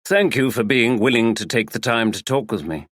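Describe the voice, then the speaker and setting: A man's voice: medium pitch, animated, moderate pace, a bit bright, no room sound, studio quality. A man as a courteous, formal male investigator. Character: polite, probing male investigator.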